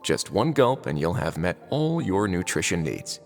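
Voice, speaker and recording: Wry calm warden, lowish voice, long reverb, soft music behind. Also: male voice, moderate pace, creaky, heavily acted. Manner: relaxed, wry understatement. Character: adult male prison warden, calm and dry-witted. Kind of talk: video game voice acting. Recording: game audio, reverb and music.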